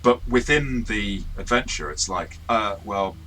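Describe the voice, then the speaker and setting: A man with a low, forceful voice talks through a review casually as a game master. He explains in an informal, rambling way, over some background noise. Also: lively intonation, unhurried.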